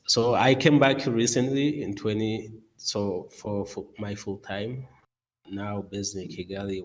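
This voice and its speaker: A man in his twenties from Rwanda speaks as a podcast guest over a video call from his office. His voice sits low, and his pace is slow and unhurried. He talks earnestly and fills his pauses with uh and restarts. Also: low-quality recording. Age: 20s. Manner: earnest, many uh fillers and restarts. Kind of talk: podcast interview.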